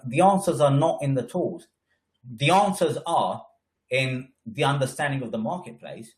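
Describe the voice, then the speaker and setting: A man with a head cold, presenting a trading webinar in an emphatic, coaching way, often tagging lines with "right?". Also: mid-range voice. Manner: emphatic, frequent "right?" tags. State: congested with a cold. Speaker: trading educator.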